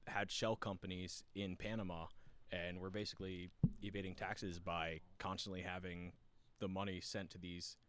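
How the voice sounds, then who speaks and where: Low-voiced man explaining, full of fillers. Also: flat delivery, moderate pace, a bit bright. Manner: explains at length with many fillers. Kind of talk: casual podcast chat.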